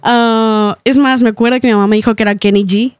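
A young woman from Ecuador, a singer guesting on a radio interview, who laughs often and fills pauses with "eh" and "am". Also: fairly high pitch, fairly even intonation, clean tone, dry recording, studio quality. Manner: laughs often, speaks with fillers like "eh" and "am". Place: radio studio.

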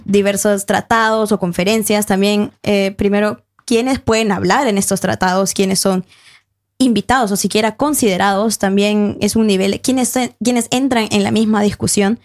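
Thoughtful young woman, gravelly voice. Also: medium pitch, fairly even intonation, normal speed. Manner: thoughtful, hesitant, many fillers and self-corrections. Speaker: panel guest. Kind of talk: academic discussion.

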